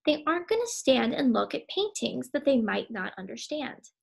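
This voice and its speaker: A woman's voice: high-pitched, sing-song, normal speed, vocal fry, husky, heavily acted. A woman voicing a fretful, pleading female hare who coaxes her husband in a storybook. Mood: anxious doubt. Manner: pleading, exclaiming.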